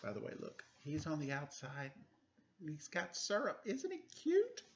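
A man's voice: on the high side, huge pitch swings, a little slow, slight background noise. Guy in his 40s doing kids storytime, chatty n playful, swoopy voice, kinda dull webcam audio. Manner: playful, chatty, often breaking off into asides. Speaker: storytime host and reader. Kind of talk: children's picture-book read-aloud with casual chatty asides. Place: room at home.

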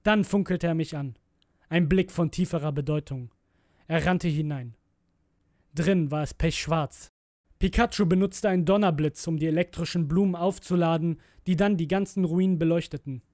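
A man's voice: on the high side, normal speed, darkish tone, no room sound, studio quality. A man narrating a scripted horror story in a brooding, theatrical manner. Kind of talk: dramatic scripted narration of a creepypasta.

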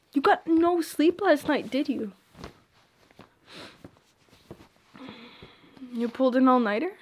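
A young woman playing a shy, teasing friend with a secret crush in an intimate ASMR roleplay, playful and a little hesitant. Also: high voice. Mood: concerned, teasing.

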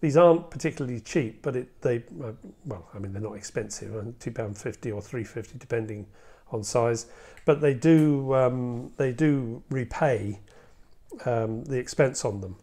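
A man in his sixties presents a hands-on model-making tutorial in a medium-pitched voice. He talks casually and a little hesitantly, explaining his work one step at a time. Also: normal speed, dark tone. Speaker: hobby video presenter. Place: indoor hobby room at a workbench.

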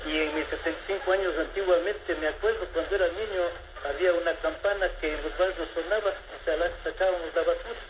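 A man's voice: a little high, fairly even intonation, quick pace. Older man calling in, echoey and muffled. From Ecuador. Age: 70s. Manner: rambling, formal and polite, with many restarts. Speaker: caller. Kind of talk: phone-in complaint to a radio show. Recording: echoey, muffled phone-in with background noise.

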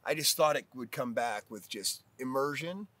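A man in his thirties chatting casually outdoors, halting and self-deprecating with many restarts. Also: medium pitch, fairly bright tone, no room sound. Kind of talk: casual chat. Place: outdoors on a hillside terrace.

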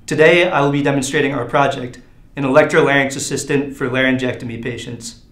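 A young man in his twenties delivers a scripted student project presentation. His voice sits at a middle pitch and his delivery is formal and measured. The room adds clear echo around his words. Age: 20s. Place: bare indoor room. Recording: roomy, echoey sound.

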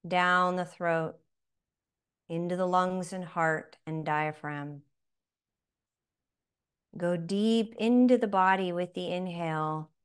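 Woman, meditation guide, very slow delivery, soft-spoken, lowish pitch, long pauses. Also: clear, resonant tone.

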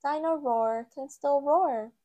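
A woman voicing a gentle, cheerful mother pig in a children's storybook, bright and reassuring. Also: high-pitched, slow pace, lo-fi sound, pitched above the performer's own voice.

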